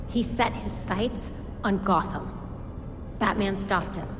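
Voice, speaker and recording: A woman's voice: mid-range voice, slow, natural voice. Woman playing a focused American heroine, reverberant. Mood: serious, thoughtful. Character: sharp, focused young female vigilante investigator. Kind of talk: video game cutscene acting. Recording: long reverb, music behind.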